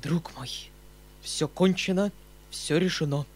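Audiobook role: male reader as overwrought kindly uncle, higher-pitched, breathless, emphatic, insisting on his authority. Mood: tragic, resolute. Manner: emphatic, breathless, rushed exclamations in short bursts. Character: agitated middle-aged gentleman uncle, kind-hearted, flaring into commanding anger.

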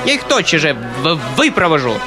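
A man's voice: a little high, sing-song, a little fast. A man voicing a furious middle-aged noblewoman who screeches and scolds, with music playing behind. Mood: indignant.

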